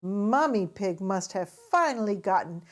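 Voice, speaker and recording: A woman reading a picture book aloud to young children in an expressive, carefully paced way. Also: mid-range voice, a little slow. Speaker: children's story reader, host.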